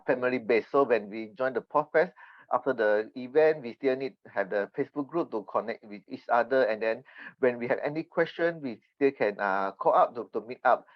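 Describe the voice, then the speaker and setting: A Malaysian man, a native Mandarin speaker, answering podcast interview questions earnestly and haltingly as he searches for words. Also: mid-range voice, dry recording. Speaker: podcast guest. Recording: dull, slightly lo-fi remote connection.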